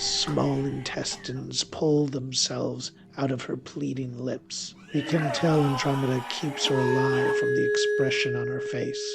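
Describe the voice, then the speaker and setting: A man telling a scripted horror story in the first person, in a theatrical, deliberate way. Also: mid-range voice, vocal fry.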